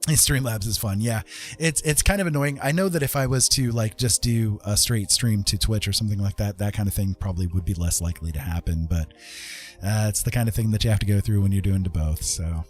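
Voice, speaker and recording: A man with a fairly low voice chats casually as a live streamer. His talk is unscripted and wanders conversationally from thought to thought. Music plays clearly behind him. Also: brisk, a little mellow. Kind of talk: casual unscripted livestream chat.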